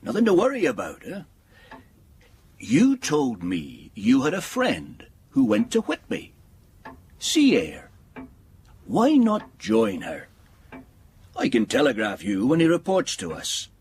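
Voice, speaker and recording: Paternal older gentleman solicitor, unhurried but lively intonation, period drama. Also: fairly high pitch, husky, heavily acted. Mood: fatherly reassurance. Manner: genteel, avuncular, reassuring. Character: kindly older male English solicitor, paternal and reassuring. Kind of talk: period audio drama acting.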